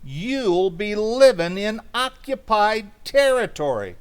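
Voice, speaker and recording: Guy doing a sardonic henpecked pal in a radio comedy, high voice, slow drawn out delivery. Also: sing-song, smooth, heavily acted. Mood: cynical warning. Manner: sardonic, folksy ungrammatical speech. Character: wry, cynical henpecked working-class buddy. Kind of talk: old-time radio comedy acting.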